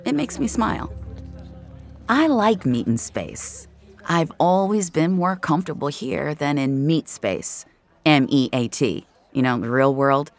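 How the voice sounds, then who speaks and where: An introspective young VR regular tells their own story in a confiding tone. The voice sits mid-range with a noticeable creak. Music plays underneath this first-person audio drama narration. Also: medium pitch, animated, measured pace. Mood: reflective. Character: introspective young VR social-space regular named Jamie.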